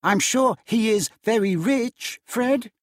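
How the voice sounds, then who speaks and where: A man's voice: high voice, slow, mellow, dry recording, clean studio sound, pitched above the performer's own voice. Man doing a young wife, earnest, indignant, raised voice.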